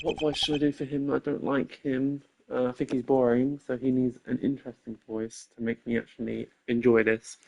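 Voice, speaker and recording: A streamer casually musing aloud while voicing a game, muttering low and half to themself. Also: little pitch movement, moderate pace, vocal fry, few pauses, a little mellow, lo-fi sound, a little hiss or hum. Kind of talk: casual live-stream chat. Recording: game music behind the voice.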